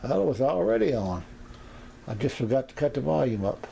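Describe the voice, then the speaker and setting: A man casually demonstrating shortwave radios in an unscripted, halting way, thinking aloud as he goes. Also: mid-range voice, very animated, vocal fry, warm tone. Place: indoors beside shortwave radios. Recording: noisy room with radio static. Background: radio broadcasts and static.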